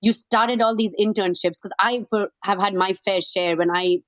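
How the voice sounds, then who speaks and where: A woman's voice: mid-range voice, steady tone, normal speed, no echo. A woman from India with an Indian accent, hosting a podcast interview in a conversational style. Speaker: podcast host. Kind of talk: conversational interviewing with personal anecdotes.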